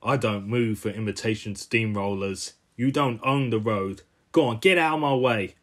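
A man's voice: on the low side, normal speed, close to an everyday voice. Man voicing a blustering, bullying cartoon steamroller. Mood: belligerent. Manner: blustering, combative. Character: belligerent, boastful male steamroller, bullying and obsessive. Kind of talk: amateur cartoon character voice reel.

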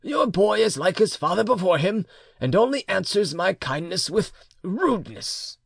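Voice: mid-range voice, sing-song, moderate pace, vocal fry, breathy edge, no room sound, pitched above the performer's own voice, full character voice. A crafty old man of a historical storybook as a narrator plays him, solemn and falsely honeyed. His speech rises and falls in insistent swings. The recording is dry. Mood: falsely kind.

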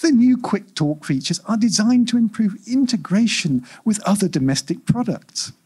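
A man's voice: high-pitched, expressive, moderate pace, a little mellow. Man reading as a polite, implacable corporate service AI. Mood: polite, insistent. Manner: smooth scripted corporate patter. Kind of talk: live short-story reading.

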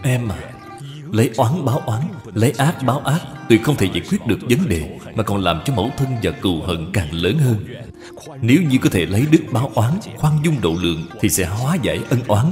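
Earnest elder brother , a man acting, lively intonation. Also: on the low side, a little fast, soft background music, lightly acted. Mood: solemn advice. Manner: earnest, admonishing. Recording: long hall reverb.

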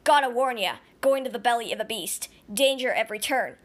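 Casting: woman as valiant male dog for cartoon dub, high voice, earnest declarations. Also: a little fast, lightly acted. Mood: solemn. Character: earnest, heroic, self-serious male dog. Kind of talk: amateur cartoon fandub acting.

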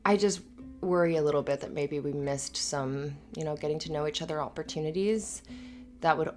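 A low female voice over faint music , a woman acting the part: an affectionate live-in girlfriend in an intimate ASMR roleplay. She is earnest and a little anxious, talking in a conversational, hesitant ramble. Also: a little fast, darkish tone, close to an everyday voice. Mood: tentative, fond. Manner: rambling, hesitant, giggly asides. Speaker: audio roleplay performer.

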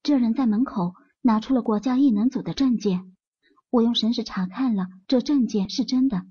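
Audiobook drama part: earnest, anxious young wife woman actor, very husky, mellow tone. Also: fairly high pitch, normal speed, muffled recording. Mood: anxious, indignant.

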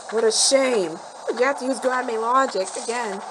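A woman giving casual let's-play commentary, excitable and chatty, talking straight to the game characters and repeating herself. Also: on the high side, normal speed, background noise. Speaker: gameplay commentator. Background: game music.

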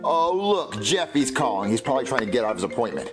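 A man's voice: mid-range voice, very animated, fast, music in the background, lightly acted. A man voicing an exasperated, earnest puppet dad in a comedy skit. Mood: puzzled.

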